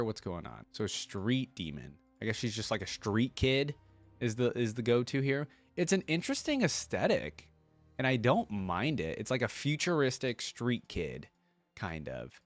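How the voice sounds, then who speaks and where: A man's voice: fairly low pitch, dry recording. A man giving casual reaction commentary as a video host, chatty and enthusiastic as he thinks aloud.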